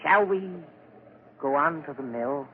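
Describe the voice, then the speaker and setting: A man's voice: a little high, lively intonation, unhurried. An actor playing a bitter, sinister man who hates people, in a brooding, theatrical 1940s radio horror style. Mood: sly, scheming. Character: bitter, sinister, misanthropic man hiding his cruelty. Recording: muffled, hissy old radio recording.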